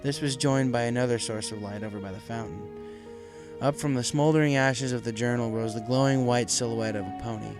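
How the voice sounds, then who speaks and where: Guy narrating a dramatic fanfic, normal mid pitch, music going behind him. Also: fairly quick. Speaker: narrator, fan fiction reader. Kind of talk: scripted dramatic story narration.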